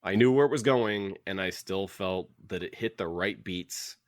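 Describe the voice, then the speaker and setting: Male podcast co-host, low register, dry recording. Casual, opinionated, thinks aloud and repeats himself. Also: normal speed, no echo. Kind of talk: casual book-club discussion.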